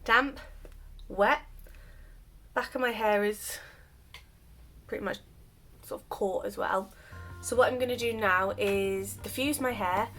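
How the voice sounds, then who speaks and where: A woman's voice: medium pitch, takes it slowly, long pauses, a bit bright, soft background music. Chatty woman doing her hair tutorial. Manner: chatty, self-deprecating, explains while doing. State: recovering voice after illness. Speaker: hair vlogger. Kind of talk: casual hair tutorial chat.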